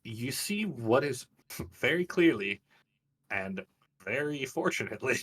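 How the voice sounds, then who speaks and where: A man's voice: medium pitch, big pitch swings, a little slow, fairly bright tone, husky. Distant-miked man narrating a fantasy tabletop game. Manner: descriptive storytelling with dramatic detail. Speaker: game master. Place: live online game stream.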